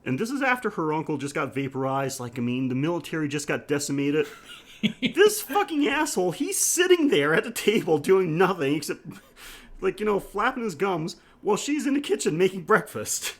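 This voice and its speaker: A man's voice: high-pitched, big pitch swings, normal speed. A man co-hosting a podcast, chatting casually through a film review and laughing easily as he talks. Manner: laughs easily while talking. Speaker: podcast host.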